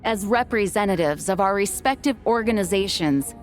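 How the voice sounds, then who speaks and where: A composed young woman knight commander, voiced by an actress in a game cutscene. Her mid-pitched voice is formal and authoritative, measured and dutiful, over music. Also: expressive, lightly acted. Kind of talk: scripted game cutscene acting. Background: soft background music.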